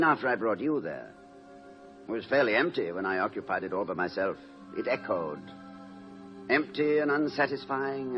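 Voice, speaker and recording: Guy doing middle aged college president, dry wit, real expressive, 1950s radio show, dull old sound. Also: fairly low pitch, natural voice. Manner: eloquent, wordy, dryly witty. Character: erudite, wry, fond male college president. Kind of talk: 1950s radio comedy acting. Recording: muffled old radio recording with noise.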